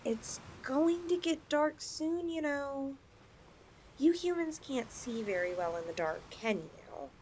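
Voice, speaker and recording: A woman's voice: high-pitched, animated, slow pace, darkish tone, slight background noise. A woman voicing a possessive, flirtatious lamia in a roleplay ASMR style, coaxing her chosen mate with sweet condescension. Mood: teasing, ominous.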